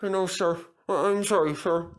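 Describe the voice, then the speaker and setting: A man's voice: high-pitched, fairly even intonation, normal speed, voice pushed up, close to an everyday voice. A man voicing a cheeky, overconfident young male steam engine in a children's storybook, easily flustered. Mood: nervous, apologetic. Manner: stammers when nervous.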